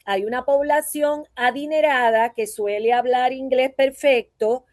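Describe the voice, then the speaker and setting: A woman's voice: high-pitched, fairly even intonation, unhurried, a little mellow, no echo, clean studio sound. A woman from Puerto Rico with a Puerto Rican accent, a voice teacher giving a conversational conference talk and often checking in with her listeners through tag questions. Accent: Puerto Rican Spanish.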